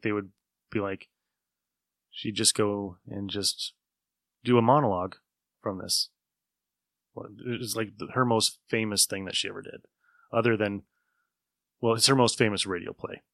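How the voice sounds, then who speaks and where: A man hosts a casual chat in a bright, slightly low voice. He is informal and emphatic, and the sound is very dry. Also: dry recording. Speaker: podcast host. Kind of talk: casual chat about old radio shows.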